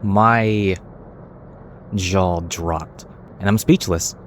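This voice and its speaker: A man's voice: low voice, drawn-out words. A man giving casual reactions and commentary as he plays a video game. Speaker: gaming streamer. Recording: clear steady background noise.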